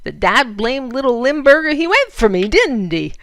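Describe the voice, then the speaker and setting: A woman voicing a rowdy, amiable Wild West outlaw, yelling in a folksy way with an American Western accent. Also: sits high, very animated, normal speed, dry recording, studio quality, voice pushed up. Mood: amiable, amused.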